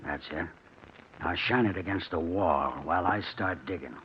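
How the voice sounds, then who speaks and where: An American actor playing a tough, steady crook who leads his younger partner in 1940s radio drama style, terse and commanding. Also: low voice, moderate pace, lightly acted. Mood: calm, determined. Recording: muffled, noisy old radio broadcast.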